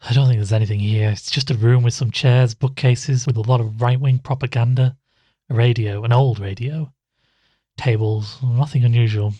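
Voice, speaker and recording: A man's voice: mid-range voice, steady tone, normal speed, mellow, dry recording, close to an everyday voice. A man playing an uneasy, wryly weary investigator in an audio drama, speaking hesitantly. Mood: weary, reluctant. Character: uneasy male investigator narrating his case, reluctant and wry. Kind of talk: audio drama dialogue and first-person narration.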